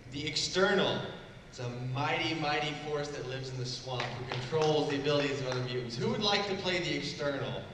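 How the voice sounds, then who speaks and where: A man's voice: fairly high pitch, brisk. A man hosting a live stage event, announcing and organizing in a showman-like way as he addresses the audience. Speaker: event host. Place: large indoor convention hall. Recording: echoey hall, distant mic, noisy ambience.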